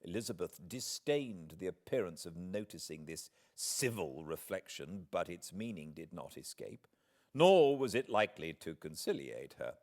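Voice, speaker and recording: A man's voice: low-pitched, sing-song, moderate pace, a little mellow. A man with a British accent giving a dramatic literary narration as an audiobook reader. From Britain.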